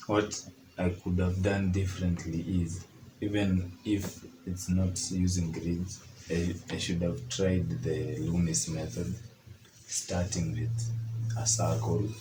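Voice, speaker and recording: Young man, hoarse, very deep voice, monotone, casual chatty talk. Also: roomy sound, slight background noise, low-quality recording. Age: young adult. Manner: informal, chatty, frequent fillers. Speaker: artist. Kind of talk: casual art tutorial chat.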